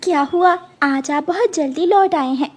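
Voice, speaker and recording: A woman voicing a gentle, dutiful mother bird in a cartoon, speaking in a soft, humble, earnest way. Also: high-pitched, unhurried, pitched above the performer's own voice. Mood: worried.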